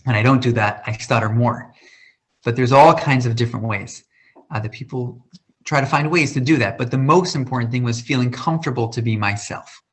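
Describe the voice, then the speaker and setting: A man's voice: medium pitch, brisk. A man in his thirties who stutters, speaking passionately and dramatically about his life in a question-and-answer talk. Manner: passionate, with a stutter and repeated words. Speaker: guest speaker. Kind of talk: personal talk in an online Q&A.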